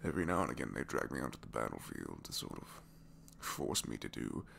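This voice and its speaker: A man's voice: extremely low pitch, big pitch swings, fairly quick, creaky, a bit bright, full character voice. A man voicing a reluctant captured supervillain, dry, sheepish and complaining, in a hesitant, rambling way, for an intimate ASMR roleplay. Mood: resigned, confiding. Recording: faint background noise.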